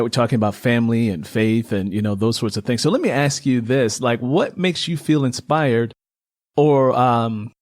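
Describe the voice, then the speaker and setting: A man's voice: medium pitch, dry recording, clean studio sound. A man hosting a podcast and interviewing a guest, who also works as a book narrator. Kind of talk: scripted show intro and interview.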